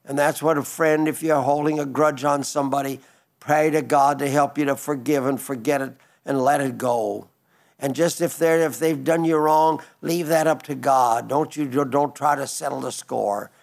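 A man in his nineties giving a broadcast sermon, reading listener letters in a gentle, rambling way with frequent restarts. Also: mid-range voice, fairly even intonation, moderate pace, creaky. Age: 90s. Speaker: radio preacher.

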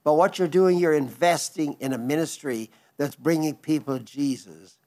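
A man in his nineties giving a broadcast sermon, reading listener letters in a gentle, rambling way with frequent restarts. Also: a little high, normal speed. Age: 90s. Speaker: radio preacher.

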